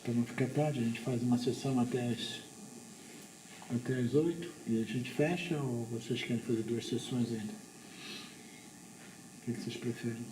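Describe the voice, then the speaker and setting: A man with a Brazilian Portuguese accent teaching spiritual philosophy informally to a small group, explaining in a halting way with repeated words. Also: medium pitch, steady tone. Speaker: Vedanta teacher. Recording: echoey room with background noise.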